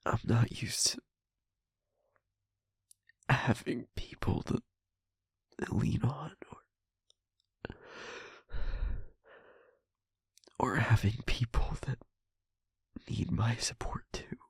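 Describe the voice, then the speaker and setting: An actor performs an anguished survivor in an audio drama, full of self-blame and apology. The voice is husky and gravelly, recorded very dry. The delivery is halting, raw and confessional. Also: medium pitch, expressive, no room sound, exaggerated voice. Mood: hushed, vulnerable. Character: anguished, self-blaming, angry and guilt-ridden survivor, apologetic. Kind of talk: emotional audio drama monologue.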